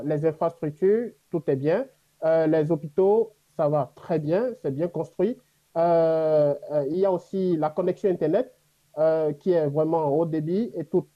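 A man's voice: fairly high pitch, muffled recording. A man from Africa, a native speaker of an African language, answering in an unscripted panel interview, reflective and earnest, with hesitations. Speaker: interview guest living in China.

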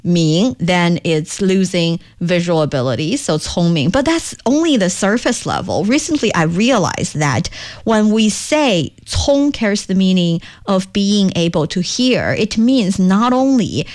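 A woman whose first language is Chinese, hosting a radio language show and explaining words in a lively, chatty way from the studio. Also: medium pitch, measured pace. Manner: lively, explanatory, lots of asides. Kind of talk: casual studio chat teaching Chinese.

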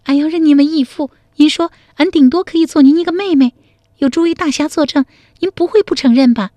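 A woman voicing a timid but quick-witted young woman in a storytelling radio serial, pleading in a soft, lilting way. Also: high-pitched, no echo, voice pushed up, lightly acted. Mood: playful sly.

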